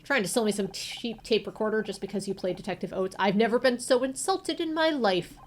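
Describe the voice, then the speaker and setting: A woman's voice: medium pitch, a little fast, a little hiss or hum, natural voice. A woman voicing a nerdy young male superfan in a visual novel, fussy, defensive and prone to stammering.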